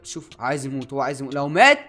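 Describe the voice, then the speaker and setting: A young man with an Egyptian accent commentating excitedly on Minecraft gameplay, animated and exclamatory as he addresses viewers. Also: mid-range voice, rapid-fire. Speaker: gaming YouTuber and host. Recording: music playing behind the voice.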